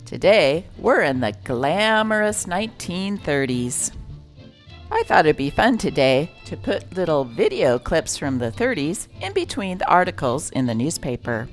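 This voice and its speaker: A woman's voice: low-pitched, sing-song, a little slow, faint music behind. A woman reading a script aloud as host and narrator, with a theatrical delivery.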